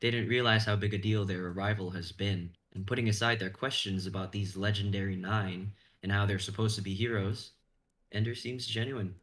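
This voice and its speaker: A man's voice: lowish voice, steady tone, a little fast, a bit bright. A young man reading scripted narration and stage directions as narrator. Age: young adult.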